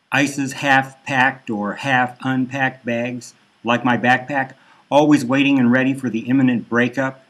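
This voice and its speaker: A man reading his own dark satirical novel as a first-person audiobook narration. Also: medium pitch.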